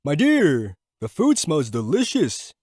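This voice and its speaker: A man's voice: fairly high pitch, huge pitch swings, takes it slowly, a little mellow, husky, no room sound, clean studio sound, faint music behind, exaggerated voice. A man playing a genial, gracious doctor and host in an audio story. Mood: content.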